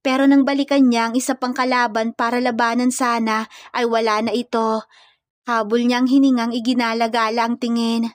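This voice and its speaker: A woman's voice: a little high, little pitch movement, moderate pace, dry recording, clean studio sound. A woman storyteller reading scripted romance fiction in a dramatic narrative style.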